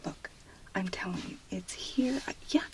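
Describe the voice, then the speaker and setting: A woman performing an ASMR role play in a breathy whisper, murmuring softly in short pieces with pauses. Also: medium pitch, low-quality recording.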